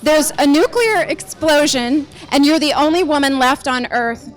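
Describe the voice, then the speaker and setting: A woman's voice: high voice, normal speed, poor audio. A woman hosting and doing interviews in a chatty, playful way. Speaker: host and interviewer.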